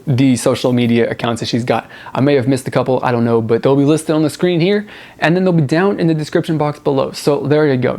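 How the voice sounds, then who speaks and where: A man's voice: medium pitch, a little mellow. A man hosting a music review vlog, talking casually and enthusiastically in a rambling, informal way, often repeating words for emphasis. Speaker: host of a music review show.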